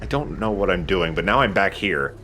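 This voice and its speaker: Bright-voiced, lowish man playing games. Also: animated, fairly quick. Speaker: let's play host playing the game. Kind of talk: casual game commentary. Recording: clear background noise. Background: game sound.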